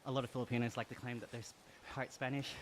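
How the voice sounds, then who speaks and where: A man's voice: medium pitch, flat delivery, rapid-fire, a little hiss or hum. Chatty Australian man streaming while cycling. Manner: upbeat, laughs often, friendly and chatty. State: exercising on an indoor bike while talking. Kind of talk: casual live-stream chat, reading viewer comments.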